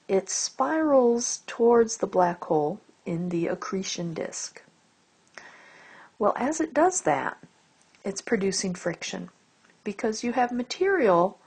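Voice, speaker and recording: A woman giving an explanatory lecture as a teacher, phrasing deliberately and stressing key words. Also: medium pitch, a little mellow, breathy edge. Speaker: astronomy lecturer. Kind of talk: explanatory lecture over slides.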